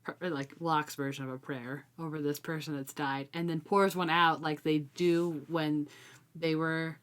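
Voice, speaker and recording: A woman co-hosting a casual book discussion, retelling things in an animated way and acting out reactions. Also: low-pitched, a little slow, clear, resonant tone. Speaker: co-host of a book discussion show.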